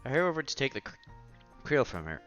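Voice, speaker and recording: Bright-voiced man reading a visual novel, swooping pitch. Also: very animated, fast talker. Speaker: host reading a visual novel aloud for viewers. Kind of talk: casual read-aloud playthrough with side comments. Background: background music.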